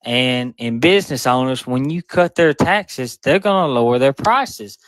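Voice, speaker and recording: A man in his twenties with a Mississippi accent, a guest arguing politics in an earnest, halting way. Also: mid-range voice, lively intonation, fairly bright tone, smooth, no echo. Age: 20s. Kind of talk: casual debate.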